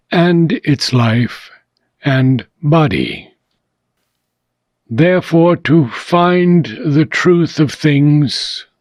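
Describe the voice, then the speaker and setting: Man, audiobook-style philosophical reading, unhurried and measured, frequent pauses, dry room sound. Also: medium pitch, darkish tone, no echo. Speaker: reader of a philosophical book.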